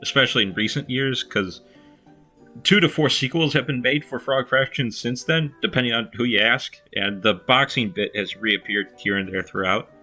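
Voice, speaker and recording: A man's voice: mid-range voice, normal speed, sharp tone. A man giving informal, unpolished spoken commentary as a video creator, in a self-deprecating way. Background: faint music.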